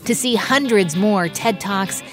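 A woman's voice: mid-range voice, unhurried, music in the background. A woman radio host who interviews a guest with engaged, affirming interjections and then reads the show's closing lines. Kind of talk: interviewing and hosting.

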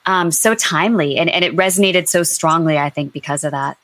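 A woman's voice: low-pitched, a little fast, fairly bright tone, no echo. A woman panelist in a casual book discussion, commenting in a reflective way.

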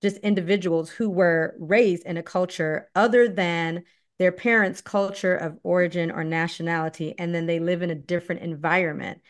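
A woman from Belize, a guest on an interview podcast, who talks about herself in a lively, laughing way and acts out other people's questions. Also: lowish voice, normal speed. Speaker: guest, leadership firm founder. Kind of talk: casual interview talk.

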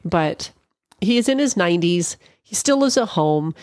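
A woman's voice: lowish voice, animated, moderate pace, a little mellow, dry recording, studio quality. A middle-aged woman hosting her own podcast, chatting casually and rambling a bit, with a congested, slightly nasal voice. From Canada. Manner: chatty, rambling, self-deprecating asides. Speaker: podcast host. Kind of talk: casual solo podcast chat.

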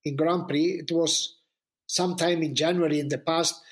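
A man with foreign-accented English telling anecdotes casually as a journalist guest, halting and repeating words for emphasis. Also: on the high side, fairly even intonation.

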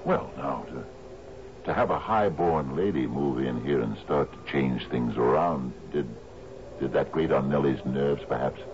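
An actor playing a troubled, grieving sea captain in a 1970s radio drama, speaking formally and haltingly. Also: male voice, a bass voice. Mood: uneasy, brooding. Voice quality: gravelly. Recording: muffled, noisy old radio broadcast.

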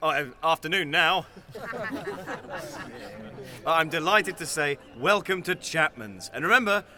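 An actor playing a smooth, affable funeral-home owner in an audio comedy, with a breezy, polished sales manner. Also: male voice, fairly high pitch, expressive, unhurried, crowd noise. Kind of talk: audio sitcom acting.

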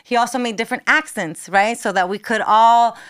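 A woman's voice: on the high side, brisk, a bit bright, clean tone. A woman from New Jersey with a Jersey accent, a TV and radio personality chatting expressively as a guest and mixing in Spanish phrases. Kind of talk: casual chat.

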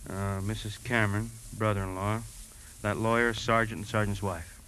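An actor playing a polite but persistent police captain in 1950s radio drama style, matter-of-fact and brisk. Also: male voice, on the low side, fairly even intonation, fairly bright tone, lightly acted. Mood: brisk, courteous. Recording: noisy 1950s radio broadcast recording.

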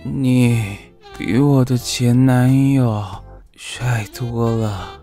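A man acts out a lovestruck young man in a boys' love radio play. The delivery is extremely slow and hesitant, with broken, drawn-out phrases at a middling pitch over faint music. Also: fairly even intonation, mellow. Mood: hesitant, tender. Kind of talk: boys' love audio drama acting.

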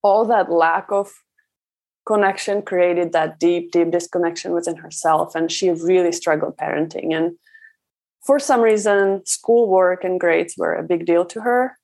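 A woman's voice: on the low side, a little slow, vocal fry, a little mellow. A woman from the Czech Republic speaking as an interview guest, telling personal stories in a conversational way. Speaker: parenting coach, interview guest.

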